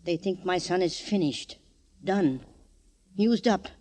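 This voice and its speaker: A woman's voice: fairly low pitch, animated, slow pace, darkish tone. An older mobster's mother in a vintage radio crime drama. She is domineering and sardonic, scolding in a hard, biting way. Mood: menacing. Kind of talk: old-time radio crime drama acting. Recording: old radio broadcast.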